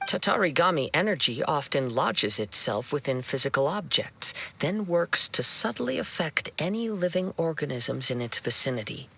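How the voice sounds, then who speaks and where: A man playing a calm, perceptive young wandering swordsman in a video game, speaking in a measured, formal and earnest way. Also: fairly high pitch, creaky, noisy background. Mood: earnest, explaining. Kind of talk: video game cutscene acting. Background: game music.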